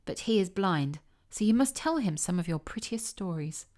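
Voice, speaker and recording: A woman's voice: lowish voice, lively intonation, normal speed, a little mellow, close to an everyday voice. A woman voicing a kindly, fussy old female field mouse in a storybook, chatty and full of advice. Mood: eager, gossipy. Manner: chatty, advising.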